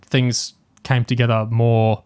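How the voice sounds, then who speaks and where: A man's voice: medium pitch, little pitch movement, slow pace, a little mellow, smooth. A man co-hosting a podcast in casual discussion, tentative and musing as he thinks aloud. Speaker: podcast co-host.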